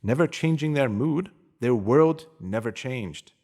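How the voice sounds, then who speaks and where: A man reads a spiritual self-help piece aloud as a narrator, with a mid-pitched voice. His delivery is earnest and instructive, like someone teaching through a written text. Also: animated, unhurried, warm tone. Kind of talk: reading a motivational spiritual essay aloud.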